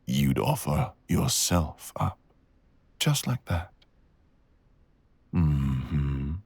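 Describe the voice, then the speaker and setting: Guy doing a cruel flirty dragon villain for asmr, raspy breathy growl, super slow and teasing, close dry sound. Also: extremely low pitch, very animated, a little mellow, no echo, exaggerated voice. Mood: amused, taunting. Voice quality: gravelly, husky, breathy growl. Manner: slow, deliberate, teasing drawl with murmurs. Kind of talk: intimate ASMR roleplay audio acting.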